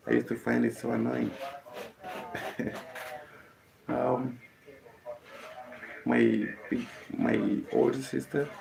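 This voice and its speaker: A young Kenyan man, a musician whose first language is Kikuyu, telling the story of his life in a halting way, with many fillers and restarts. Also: medium pitch, vocal fry, rough recording. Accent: Kenyan English.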